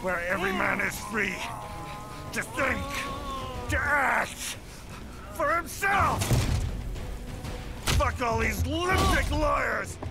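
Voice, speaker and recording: A ranting villain senator. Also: male voice, very high voice, lively intonation, takes it slowly, pauses often, full character voice. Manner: bombastic shouting speech with heavy profanity. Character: brawny middle-aged male senator villain, ranting and fanatical. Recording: game audio with loud music behind.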